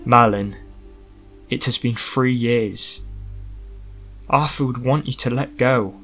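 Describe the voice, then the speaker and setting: A man's voice: medium pitch, takes it slowly, bright, forceful tone, soft background music, full character voice. A man voicing a worried old physician and guardian who speaks earnestly in an audiobook story. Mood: stern concern.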